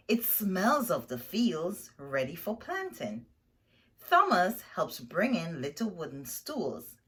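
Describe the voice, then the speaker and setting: A woman reading a children's picture book aloud in an animated, expressive way, pausing to explain the story simply to young listeners. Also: fairly low pitch.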